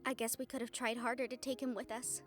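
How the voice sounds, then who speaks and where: A woman playing a guarded, protective young fiancée in an intimate audio roleplay drama, speaking earnestly and emphatically with deliberate pauses. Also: on the high side, level tone, faint music behind, lightly acted. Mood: regretful.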